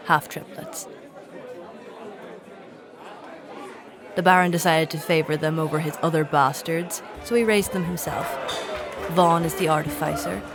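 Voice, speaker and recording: Actress as a dry, low-pitched scholarly alchemist. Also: female voice, natural voice. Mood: explanatory. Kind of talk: audio drama dialogue. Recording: busy crowd and music behind.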